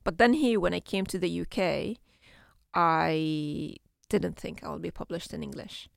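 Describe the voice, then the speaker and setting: A woman's voice: fairly low pitch, a little slow, gravelly, no room sound, studio quality. A middle-aged woman from Syria, a native Arabic speaker, talking reflectively about her career as a children's book author in an interview, often restarting her sentences. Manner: reflective, frequent restarts and repetitions. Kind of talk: conversational interview.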